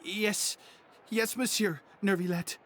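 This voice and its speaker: An actor playing a cowed, apologetic underling in an anime game, stammering nervously. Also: male voice, high-pitched, fairly even intonation, slow, a bit bright, slight background noise. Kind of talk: anime game dub acting.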